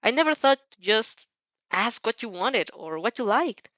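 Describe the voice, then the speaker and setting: Woman acting a gentle, apologetic heroine, lively pitch. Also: a little slow, no room sound, lo-fi sound. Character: gentle, apologetic heroine, shaky and self-doubting. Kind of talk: acted story dialogue.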